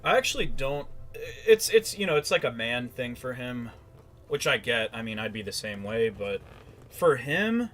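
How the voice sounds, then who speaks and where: A man's voice: mid-range voice, very animated, normal speed, fairly bright tone, soft background music. Sarcastic man, foul-mouthed casual commentary. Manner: sarcastic, profane. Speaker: commentator reacting to a played recording.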